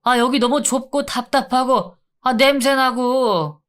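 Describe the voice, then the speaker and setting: A man voicing a sneering, wheedling male convict in drug withdrawal, who taunts and then begs with drawn-out sighs. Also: extremely high pitch, fairly bright tone, clean tone, voice pushed up. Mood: pleading.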